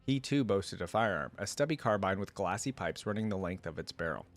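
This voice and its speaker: A man's voice: sits low, expressive, brisk. A man hosting a reaction video, reading a story aloud and breaking off for casual, slangy commentary.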